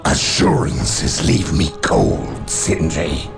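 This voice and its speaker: Very deep, gravelly dark warlord. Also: male voice, wildly swooping pitch, very slow, music in the background, heavily acted. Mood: contemptuous. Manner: theatrical, haughty. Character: menacing, scornful male chaos warlord or sorcerer villain. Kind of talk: dramatic video game villain acting.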